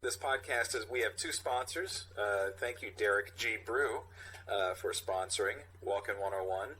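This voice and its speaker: A man co-hosting a comedy podcast, riffing loosely and haltingly as he reads out material. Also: lowish voice, pushed and bright, slight background noise, poor audio. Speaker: podcast co-host. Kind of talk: loose comedic podcast banter and script reading.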